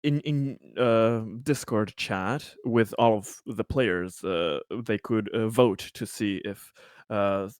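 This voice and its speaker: Low-voiced man, dry close sound. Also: slow, smooth, no room sound. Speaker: tabletop roleplaying player. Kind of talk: casual game discussion.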